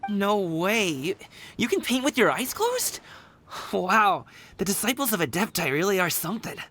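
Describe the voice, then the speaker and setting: A man's voice: high-pitched, sing-song, moderate pace, a bit bright, slight background noise. A man playing a friendly, teasing, easygoing youth in a video game. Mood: amazed.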